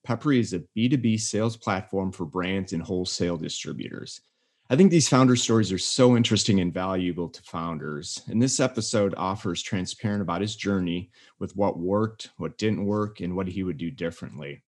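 Upbeat low-voiced male podcast host. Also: a little fast. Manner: upbeat, scripted in the intro. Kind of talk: podcast intro and interview.

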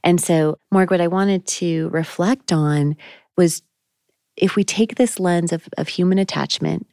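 A woman's voice: fairly low pitch, steady tone, a little slow, dark tone, no echo, clean studio sound. A woman co-hosting a faith podcast, talking in a thoughtful, searching way with frequent ums. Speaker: podcast co-host. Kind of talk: reflective faith conversation.

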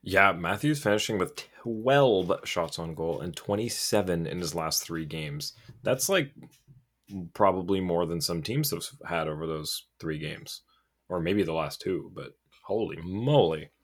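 Deep-voiced casual hockey podcast host. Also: male voice, expressive, unhurried. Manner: jokey, swears casually, hypes up guests. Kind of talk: casual sports podcast chat.

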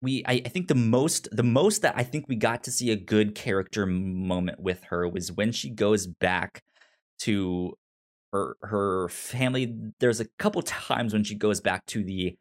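Male podcast host, casual reviewer. Slow, halting delivery with frequent stammered repeats. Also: fairly low pitch.

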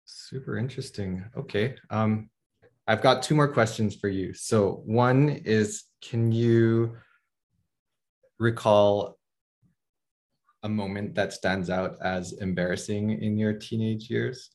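A man's voice: lowish voice, steady tone, slow pace, a little mellow. A man interviewing a guest, asking brief, curious follow-up questions.

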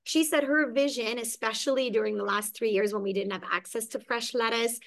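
A woman's voice: fairly high pitch, fairly even intonation, a little fast, gravelly, fairly bright tone. A woman from Hungary, a business owner, telling her story in a casual interview, recorded at her indoor garden business.

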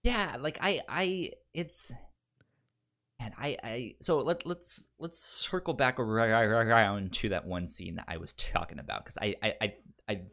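A man chats casually as a film podcast host. His voice sits at a medium pitch, and he stutters, often repeating a sound several times before finishing a word. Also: measured pace, creaky, fairly bright tone.

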